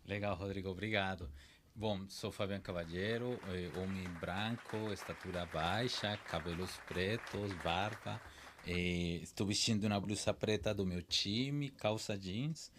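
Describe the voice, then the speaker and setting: Man, very low voice, calm explainer, panel guest. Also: a little slow, background noise. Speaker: guest expert. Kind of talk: casual panel discussion.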